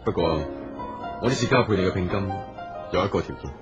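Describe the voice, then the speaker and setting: A man's voice: low voice, expressive, brisk. A man with a Hong Kong Cantonese accent acting a polite, earnest, persistent businessman in a radio drama. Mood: businesslike. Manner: polite, solicitous. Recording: dull audio with music underneath.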